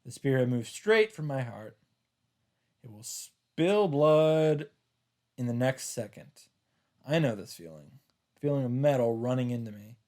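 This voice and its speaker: A man reading a first-person story aloud in a theatrical, halting way, with dramatic emphasis. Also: mid-range voice, animated, dark tone. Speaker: streamer reading a visual novel aloud.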